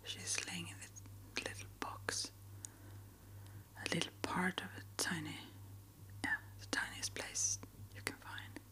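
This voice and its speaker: A woman speaking in a gentle, hesitant, rambling way, as an ASMR creator chatting to her viewers. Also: on the low side, flat delivery, measured pace. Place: small upstairs apartment.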